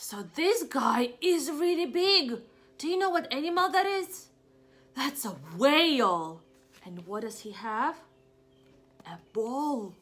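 Slow, animated young woman reading to preschoolers. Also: sits high. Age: young adult. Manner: questioning, pausing for answers, expressive. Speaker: preschool teacher. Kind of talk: interactive picture-book read-aloud for young children. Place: small home room indoors.

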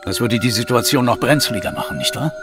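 A man plays a determined Victorian police inspector in an audio drama. He is formal and earnest, mid-pitched, set a little back from the microphone over music. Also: animated, fast, distant mic, close to an everyday voice. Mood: earnest, questioning. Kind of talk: German audio drama acting.

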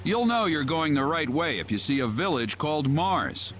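A man's voice: on the high side, very animated, moderate pace, a bit bright, soft background music. A man voicing a laid-back, wry sea captain who gives advice in a video game. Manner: casual, wry and talkative. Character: laid-back middle-aged male ship captain. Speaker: ship captain.

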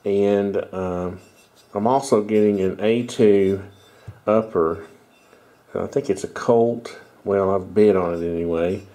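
Congested middle-aged man, mellow low voice. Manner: rambling, self-correcting. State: stuffy, congested. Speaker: video host. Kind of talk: casual show-and-tell talk. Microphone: headset.

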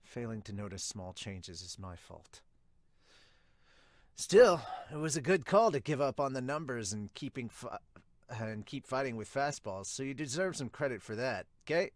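A calm, dry-witted young catcher from a manga, read by a man. He speaks in a measured, matter-of-fact, determined way at middling pitch, with a dry close sound. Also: no room sound, lightly acted. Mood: measured, serious.

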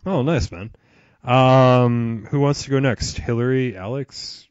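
A man's voice: mid-range voice, takes it slowly, a little mellow, clear, resonant tone, no room sound, highs cut off. A young man in his twenties hosting a casual podcast, laughing often and swearing offhand. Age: 20s. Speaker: podcast host.

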